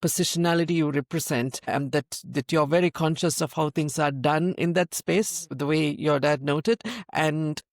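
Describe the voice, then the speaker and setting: A man's voice: fairly high pitch, fairly even intonation, moderate pace, hardly any pauses, dry recording, studio quality. A man from Sri Lanka hosting an interview, speaking emphatically and repeating points for stress. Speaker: host. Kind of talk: conversational interview.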